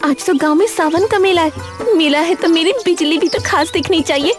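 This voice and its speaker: A woman voicing a hardworking, cheerful young village food seller in a cartoon, earnest and expressive. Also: very high-pitched, brisk, over music, voice pushed up. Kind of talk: cartoon dialogue.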